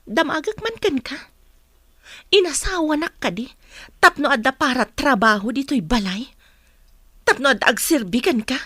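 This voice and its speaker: A woman's voice: high voice, lively intonation, moderate pace, long pauses, pushed and bright, no echo, clean studio sound, full character voice. A woman with an Ilocano accent playing a worried, caring mother and housewife in a radio comedy drama, speaking earnestly. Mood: aggrieved, pleading.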